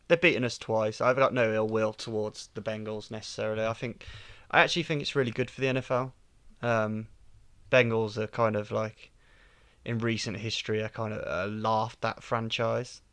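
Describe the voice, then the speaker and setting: A man's voice: on the low side, fairly bright tone, no echo. A man, a podcast guest chatting casually and with firm opinions, laughing easily. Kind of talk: casual sports podcast chat.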